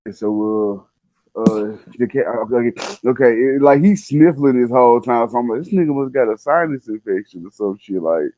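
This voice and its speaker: A man's voice: medium pitch, expressive, normal speed, dark tone, highs cut off. A man telling a personal story in casual slang, laid-back and conversational, acting out the dialogue as he goes.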